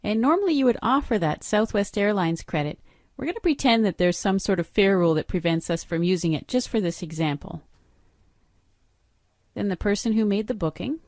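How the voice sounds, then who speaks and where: Woman walking through software steps, plain middle voice, dead dry close mic. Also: medium pitch, no echo. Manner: step-by-step instructional, matter-of-fact. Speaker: software trainer. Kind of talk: screen-recorded training walkthrough.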